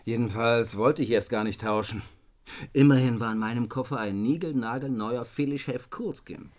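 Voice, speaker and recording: A man's voice: medium pitch, normal speed, close to an everyday voice. An actor in a German radio drama playing a questioning, slightly fussy man in conversation.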